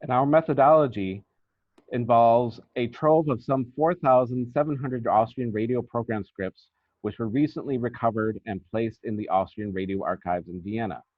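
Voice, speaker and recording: A man's voice: mid-range voice, normal speed, no room sound. A man reading a scripted academic paper aloud, on a muffled, narrow-band recording. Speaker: academic researcher presenting a paper.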